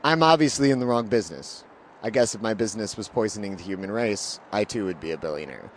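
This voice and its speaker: A man's voice: fairly low pitch, animated, fast, slight background noise. Man narrating with dry sarcasm. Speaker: co-host and narrator. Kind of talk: wry scripted narration and interview questions.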